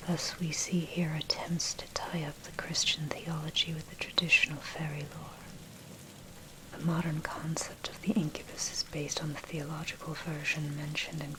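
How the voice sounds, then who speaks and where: A woman narrates in a breathy, unvoiced whisper, giving a measured, scholarly ASMR reading of a dark history article. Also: low-pitched, rough recording. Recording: heavy background noise, rain and thunder. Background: rain, thunder, clicks.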